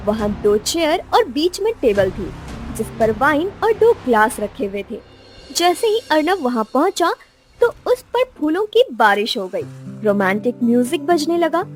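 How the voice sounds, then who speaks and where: A woman narrating a scripted romance story in a dramatic style. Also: high voice.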